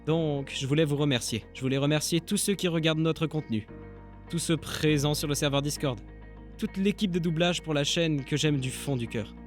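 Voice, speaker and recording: Informal, higher-voiced man vlogging over music. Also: moderate pace. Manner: self-deprecating, informal. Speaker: amateur voice dubber and channel creator. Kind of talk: casual vlog narration.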